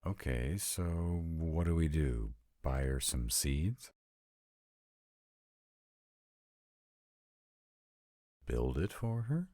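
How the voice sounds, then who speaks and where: A very deep, dark-toned male vampire, acted by a man for a roleplay audio drama. He is sarcastic and grudgingly devoted, with lively swings in his low voice and a dry, reluctant delivery. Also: slow, dry recording, exaggerated voice. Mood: casual, curious. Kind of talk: scripted roleplay audio drama, one side of a conversation.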